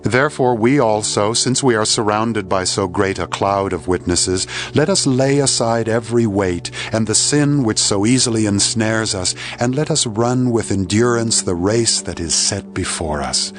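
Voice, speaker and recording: A man giving a solemn, scripted Bible reading as narrator, measured and formal with dramatic emphasis. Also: on the low side. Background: background music.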